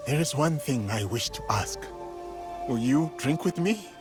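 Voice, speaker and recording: A man's voice: mid-range voice, lively intonation, measured pace, close to an everyday voice. A man playing a loyal, humble samurai warrior in a video game, speaking formally and solemnly. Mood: solemn, respectful. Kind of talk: video game cutscene acting. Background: music.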